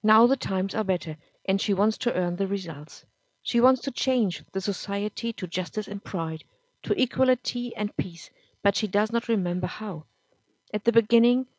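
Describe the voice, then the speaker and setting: A woman reading a storybook, voicing a calm, wise council spirit of dust in a soft, measured, reassuring way. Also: mid-range voice, no echo, close to an everyday voice, rough recording.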